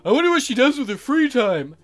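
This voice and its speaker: A man puts on a big shark schoolboy from a dating game. He is friendly and easygoing, with a loud, chummy delivery pushed up high. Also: big pitch swings, quick pace, soft background music, full character voice. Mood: friendly, upbeat.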